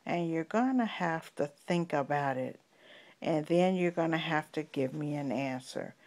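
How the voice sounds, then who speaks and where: A woman voicing a patient, solemn male gatekeeper who questions children deliberately in a bedtime story. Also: deep voice, lively intonation, a little mellow, voice pushed down.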